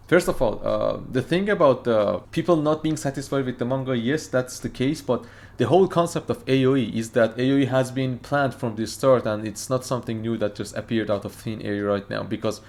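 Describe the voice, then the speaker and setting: A Farsi-native man hosts a casual reaction video, talking in an excitable, rambling way. He often stops to restart his sentences and fills the gaps with "uh". His voice sits at a middle pitch. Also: brisk.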